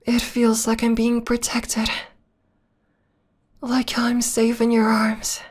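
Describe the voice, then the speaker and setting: A woman playing a possessive, obsessed young vampire lover in an ASMR romantic roleplay, speaking softly and intimately, often in a breathy whisper. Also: on the high side, completely flat delivery. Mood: tender. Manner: slow, intimate, sweetly controlling.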